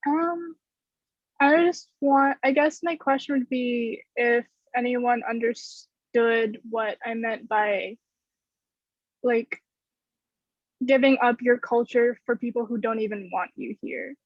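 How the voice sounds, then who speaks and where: A woman's voice: on the high side, fairly even intonation, slow pace, no echo. A young woman student on a video call from her bedroom, speaking hesitantly with many "like" fillers. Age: young adult. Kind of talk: casual discussion on a video call. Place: bedroom with string lights. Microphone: laptop webcam on a video call. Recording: dull, dry webcam sound.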